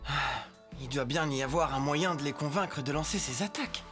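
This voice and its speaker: A fairly low voice from a woman for a proud, sensible cartoon youngster. The delivery is self-assured and matter-of-fact, with music clearly behind. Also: a little fast, lightly acted. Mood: reluctant. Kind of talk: French cartoon dub.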